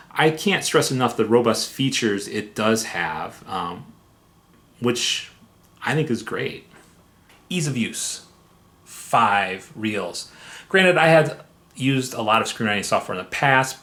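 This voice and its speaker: A man's voice: mid-range voice, fairly bright tone. A man presenting a semi-scripted review, speaking in an emphatic, enthusiastic way with conversational asides. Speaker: software reviewer.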